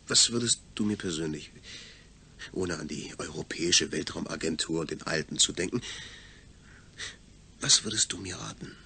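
Fragender Astronaut mit heller, tiefer Stimme. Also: male voice, low voice, normal speed, creaky, long pauses, sharp tone, a little hiss or hum, exaggerated voice. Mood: doubtful. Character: astronaut Ingolf Stein, uneasy brain-surgery volunteer, inquisitive. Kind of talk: German radio play acting.

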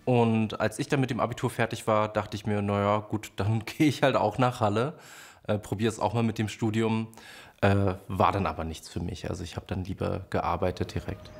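A man's voice: fairly low pitch, fairly even intonation, normal speed, creaky. A young man speaking plainly and a little hesitantly in a documentary interview. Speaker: interviewee, witness. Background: music.

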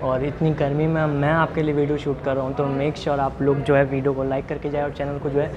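A man's voice: on the high side, little pitch movement, fast talker, highs cut off, a crowd in the background. A young man presenting a casual food vlog to a handheld camera, informal and mixing in English words. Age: young adult. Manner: informal, repeats filler phrases, mixes in English words. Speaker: food vlogger, host. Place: large, mostly empty restaurant. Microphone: handheld camera at arm's length. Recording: clear background noise, restaurant ambience.

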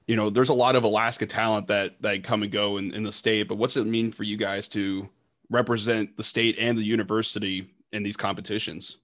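A man, a TV reporter, asking a question at a press conference in a halting way. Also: fairly low pitch, flat delivery, a bit bright. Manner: halting, repeated words.

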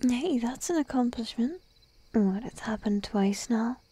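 Slow, breathy shy sheep-girl girlfriend, acted by a woman. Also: on the high side, expressive. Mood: gently attentive. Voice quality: soft, breathy whisper. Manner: timid, coaxing, lingering pauses. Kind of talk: whispered ASMR roleplay. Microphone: close microphone, dry.

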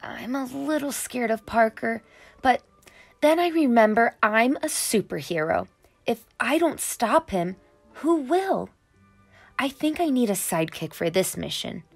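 A woman's voice: a little high, big pitch swings, measured pace, creaky, slightly rough, heavily acted. A woman voicing an eager, brave young boy superhero in training, earnest and dramatic, in a storybook. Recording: music under the voice.

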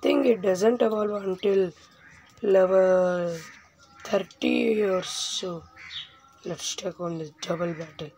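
Slow-paced woman commentating a game, noisy recording. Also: mid-range voice, warm tone. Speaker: let's play host. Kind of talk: casual gameplay commentary.

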